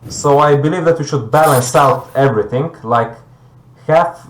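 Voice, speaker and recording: A man from Bulgaria, debating conversationally as a guest and haltingly searching for words. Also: fairly high pitch. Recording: echoey room sound.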